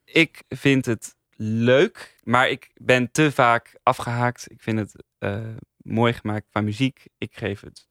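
A man's voice: mid-range voice, steady tone, takes it slowly, a bit bright, no room sound, clean studio sound. A man talking casually as a critic on a podcast panel.

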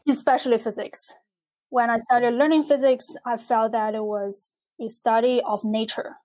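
A young adult woman from China, a physicist and artist, speaking in a measured, thoughtful way in a conversational interview. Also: fairly high pitch, steady tone, a little mellow.

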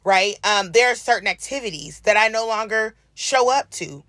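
Hoarse woman podcaster, bright dry sound. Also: mid-range voice, lively intonation, no room sound. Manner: earnest, encouraging, drawn-out emphasis. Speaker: podcast co-host. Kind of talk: casual podcast chat.

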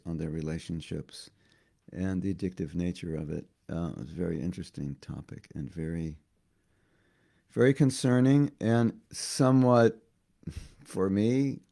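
A hoarse man giving a devotional class, talking haltingly and often repeating words as he thinks. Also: sits low, mellow. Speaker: spiritual teacher.

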